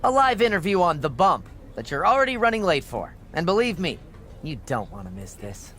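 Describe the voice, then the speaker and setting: High-voiced, smug, sarcastic aide. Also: male voice, big pitch swings, brisk, fairly bright tone, slight background noise. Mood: smug, gloating. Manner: mock-cheerful, sarcastic. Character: smug, sarcastic male wrestling manager's aide. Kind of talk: video game cutscene acting.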